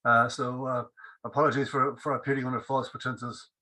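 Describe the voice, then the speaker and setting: A man asking a question in an informal online discussion, speaking haltingly and repeating words as he thinks. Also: mid-range voice, little pitch movement, pushed and bright, poor audio. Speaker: audience member asking a question. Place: indoors on a computer in an online meeting. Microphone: computer on a video call.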